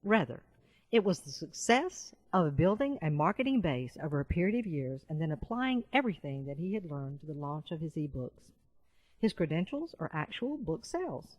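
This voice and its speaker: A woman reading nonfiction audiobook narration in a measured, carefully enunciated way. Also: low voice, sing-song, dry recording. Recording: dull, muffled lo-fi voice-over.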